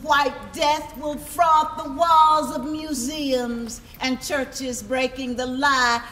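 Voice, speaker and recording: High, slow-speaking woman declaiming on stage. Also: fairly even intonation, bright, forceful tone. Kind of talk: theatrical choral spoken-word performance. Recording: echoey hall with long reverb.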